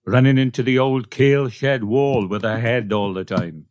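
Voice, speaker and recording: A man's voice: medium pitch, moderate pace, darkish tone, dry recording, close to an everyday voice. A man playing an awkward, plain-spoken Welsh sheep farmer in a comic radio play. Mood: matter-of-fact worry. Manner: hesitant, matter-of-fact.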